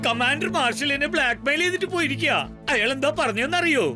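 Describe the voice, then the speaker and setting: A man's voice: very high-pitched, fast talker, over music. A man voicing a fretful, apologetic robot builder in a children's cartoon dub, exclaiming and explaining in a flustered way. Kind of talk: dubbed children's cartoon acting.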